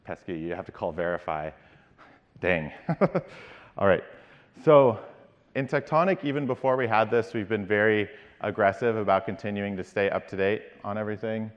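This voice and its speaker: A low-pitched man in his thirties gives a keynote from a big conference stage. He speaks in a measured way, with frequent ums and small restarts. His voice carries a long hall reverb, and the sound is slightly dull. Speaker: company CEO giving a keynote. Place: large dark conference stage.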